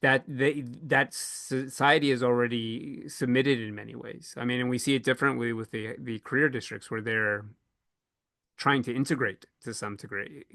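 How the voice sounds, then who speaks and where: A man co-hosting a podcast panel, thinking aloud in a halting way and often repeating words as he goes. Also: mid-range voice, creaky, a bit bright, dry recording, clean studio sound.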